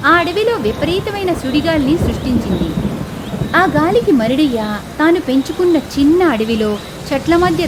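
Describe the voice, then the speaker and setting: A woman's voice: high-pitched, noisy background. A woman narrating a scripted children's moral story as a storyteller.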